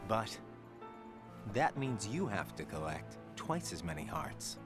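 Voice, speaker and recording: A wry, casual young man from a video game, on the low side and very animated in pitch. He is the teasing, reassuring older friend, with clear music underneath. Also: takes it slowly, heavily acted. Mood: decisive. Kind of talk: video game cutscene voice acting.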